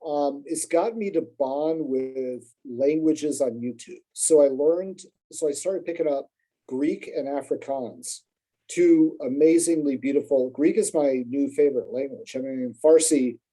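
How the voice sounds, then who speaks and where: Dark-voiced man chatting casually. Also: mid-range voice, measured pace, poor audio. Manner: rambling, self-deprecating, lots of fillers and restarts. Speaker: podcast guest. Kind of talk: casual conversational storytelling.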